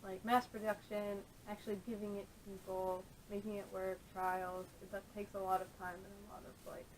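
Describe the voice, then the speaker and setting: Podcast panelist, little pitch variation, tentative casual phrasing, faint rumbly noise, camera mic. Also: flat delivery, brisk. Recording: noisy, rumbly camera audio.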